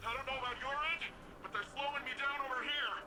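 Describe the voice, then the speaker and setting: Brash young hero dude, highish pitch, informal game acting, tons of noise around him. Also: male voice, fairly even intonation, quick pace. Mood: strained.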